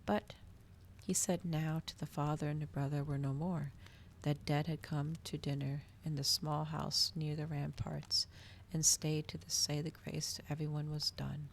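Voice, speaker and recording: A woman reading a story aloud in a soft, hushed ASMR style. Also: deep voice, flat delivery, unhurried, gravelly, slight background noise. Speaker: streamer reading a novel aloud.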